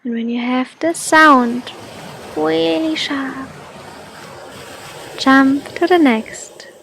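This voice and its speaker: Female meditation guide, high soft voice, extremely unhurried delivery, faint ambient noise behind her. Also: creaky. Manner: soft, gentle, soothing, with long pauses.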